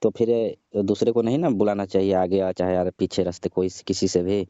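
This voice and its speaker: A man's voice: deep voice, level tone, a little fast, mellow, no echo. Grumbling man on a phone call. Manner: grumbling, argumentative. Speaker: caller. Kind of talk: scripted comedy phone call. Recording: muffled phone call recording.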